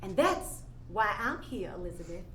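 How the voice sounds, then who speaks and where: Actress doing a jaded outspoken woman, high voice, scoffing, stage reading, echoey room w some noise. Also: very animated, normal speed, a bit bright. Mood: scornful, indignant. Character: jaded, outspoken woman chasing money owed to her grieving father.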